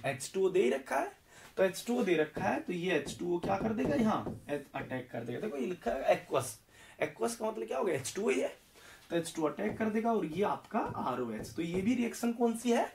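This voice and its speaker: A hoarse young man teaching chemistry at a board, explaining step by step and asking questions that he answers himself. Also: high-pitched, far from the mic. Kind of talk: whiteboard lecture. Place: indoor classroom in front of a green board.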